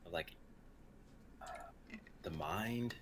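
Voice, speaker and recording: A man's voice: sits low, takes it slowly. A young man chatting casually on a noisy livestream call, rambling as he thinks aloud. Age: young adult. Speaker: actor and reader on a group livestream. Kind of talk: casual chat.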